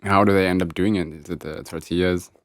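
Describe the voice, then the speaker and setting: Low-voiced host asking casual questions. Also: male voice, fairly quick, dry recording, studio quality. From grew up speaking a creole-like English. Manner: relaxed, laughs often, short questions. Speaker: podcast host. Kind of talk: casual interview.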